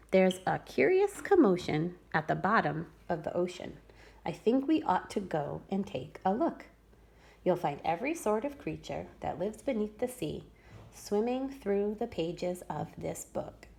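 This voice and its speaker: A woman preschool teacher reading a rhyming picture book aloud to children with expressive storytelling. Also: lowish voice, a little slow, vocal fry, husky. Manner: expressive, sing-song storytelling.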